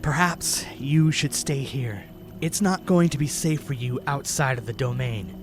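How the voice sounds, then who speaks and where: A man's voice: medium pitch, measured pace, noisy background, lightly acted. A man playing a grave, protective young hero knight in an audio drama, speaking with caution.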